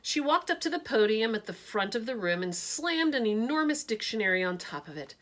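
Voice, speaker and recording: A woman, a teacher, reading a children's picture book aloud in an expressive storytelling manner. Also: a little high, a little fast.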